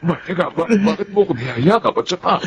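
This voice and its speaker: A Filipino man playing a mild middle-aged uncle with halting speech in a radio drama. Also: high voice, animated, a little hiss or hum. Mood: puzzled.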